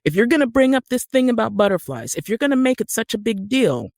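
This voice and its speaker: A man's voice: very high-pitched, big pitch swings, fast, creaky, darkish tone, no echo, clean studio sound. A man talking conversationally on a podcast about his craft, opinionated and emphatic.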